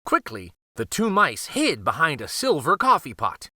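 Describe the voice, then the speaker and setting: A man narrating a children's storybook aloud, expressive and careful, with dramatic pauses. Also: a little high, no echo, clean studio sound.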